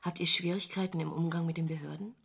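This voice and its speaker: A woman acting in a literary radio drama as a disillusioned, reflective traveller, speaking in a measured, dry way with a husky, breathy voice. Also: lowish voice, steady tone, fairly bright tone, heavily acted. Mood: politely probing. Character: disillusioned female visitor to hell, wistfully reflective narrator.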